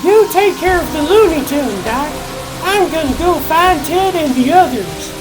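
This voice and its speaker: A woman's voice: high voice, expressive, unhurried, music in the background, low-quality recording. A woman playing a plucky, confident young superhero in a comic dub, with a breezy, casual delivery. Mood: brisk. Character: brash, plucky young female superhero speedster. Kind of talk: dramatic comic dub acting.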